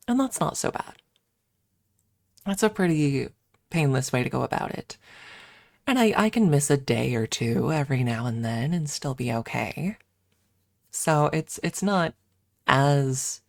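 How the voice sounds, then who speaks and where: Low-pitched woman, slow creaky delivery. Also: dry recording, clean studio sound. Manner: rambling, candid and explanatory. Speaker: livestream host and ASMR streamer. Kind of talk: casual ASMR stream chatter. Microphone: close microphone.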